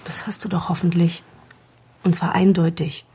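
An actress playing a cool confidante in a radio crime drama, hushed and breathy, probing and insinuating. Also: female voice, fairly low pitch, flat delivery, normal speed, a little hiss or hum, lightly acted. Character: cool, pressing woman confidante of a doctor. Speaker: actor.

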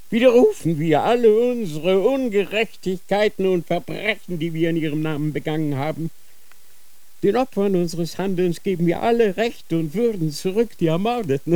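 A feverish dying old Grand Inquisitor. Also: male voice, high voice, a little mellow, no room sound. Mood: dictating. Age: older. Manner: slow, solemn dictating with sudden feverish outbursts. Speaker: actor in a radio drama. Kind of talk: radio drama acting.

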